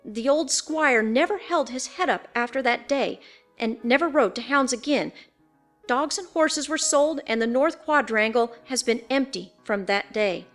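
A woman's voice: high-pitched, a little slow, fairly bright tone, soft background music, pitched above the performer's own voice. A woman voicing an anxious, superstitious housekeeper in a ghost story, deferential and exclamatory in a folksy servant's way. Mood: grave, awed. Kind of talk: dramatised audiobook ghost story.

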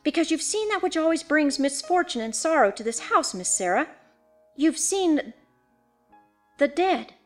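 A woman's voice: high voice, big pitch swings, unhurried, long pauses, soft background music, pitched above the performer's own voice. A woman voicing an anxious, superstitious housekeeper in a ghost story, deferential and exclamatory in a folksy servant's way. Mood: fearful pleading. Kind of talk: dramatised audiobook ghost story.

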